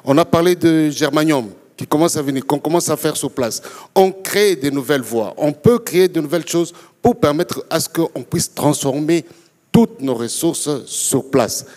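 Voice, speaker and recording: A man's voice: on the high side, moderate pace, a little mellow. A man from DR Congo speaking as an entrepreneur on a conference panel, making an impassioned case. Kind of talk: impassioned panel remarks in a public forum. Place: large conference hall. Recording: reverberant conference hall.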